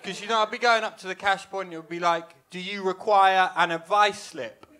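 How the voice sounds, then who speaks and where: Young man, stand-up comedian, high voice, bright tone, wry deadpan, handheld mic, small club stage. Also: fairly quick, clean tone. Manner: wry, self-deprecating deadpan delivery. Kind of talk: stand-up set. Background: audience laughter.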